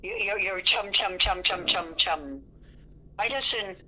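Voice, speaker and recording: A woman's voice: mid-range voice, unhurried, sharp tone. An older woman in a personal phone argument, scolding and stubborn, heard over a telephone line. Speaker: mother on a phone call with her son.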